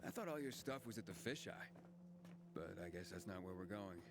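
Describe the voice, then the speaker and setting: An actor playing an earnest, wry young survivor in a video game, speaking casually and a bit hesitantly. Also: male voice, low voice, lively intonation, brisk. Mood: skeptical, teasing. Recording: game audio with clear ambient noise.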